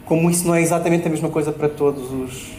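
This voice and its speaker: A man with a European Portuguese accent speaks as a debate panelist, pausing often with fillers and tag questions. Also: a little high, steady tone, a little mellow. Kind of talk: public panel discussion. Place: event space at a bookshop. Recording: roomy hall with faint noise.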